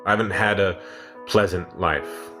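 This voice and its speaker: A man playing a reserved, haunted scientist who survived a wartime camp, speaking haltingly in a sci-fi radio play. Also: sits low, fairly even intonation. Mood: detached, somber. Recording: music under the voice.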